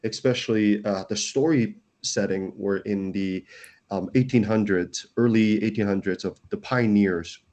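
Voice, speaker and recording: A man's voice: on the low side, unhurried, darkish tone. A man, a startup founder, earnestly explaining his company as an interview guest, often restarting his sentences. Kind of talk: casual interview.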